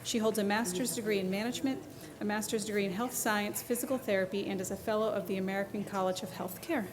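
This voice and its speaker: A woman's voice: medium pitch, fairly even intonation, quick pace, a crowd in the background. A woman hosting a conference session, formally reading out the next speaker's introduction and credentials. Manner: reads a formal list of credentials.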